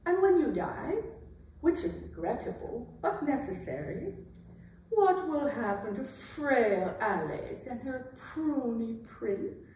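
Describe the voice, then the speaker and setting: A woman playing a bitter, taunting aging queen in a theatrical staged reading, with biting, deliberate delivery. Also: a little high, very animated, darkish tone, slight background noise. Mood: cold scorn. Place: arts center stage, recorded from afar. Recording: very echoey hall, distant mic.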